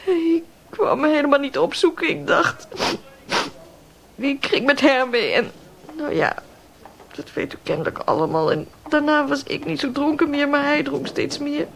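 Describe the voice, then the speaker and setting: An actress playing a shaken young drama student in a radio play, confiding in a halting, subdued way. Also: female voice, high voice, big pitch swings, gravelly, slight background noise, heavily acted. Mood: hesitant, confessing. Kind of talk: naturalistic Dutch radio play acting.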